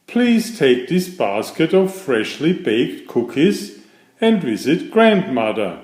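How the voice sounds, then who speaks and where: A man voicing a caring, cautious fairytale mother who instructs gently. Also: on the high side, very animated, slow pace, echoey room. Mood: caring, cautioning.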